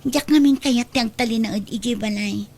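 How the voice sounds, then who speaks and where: A woman's voice: on the high side, normal speed, darkish tone. A woman acting a young adult daughter in a radio drama, anxious and nosy, with a questioning, pleading manner. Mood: worried, confiding. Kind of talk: amateur-style radio drama acting in Ilocano.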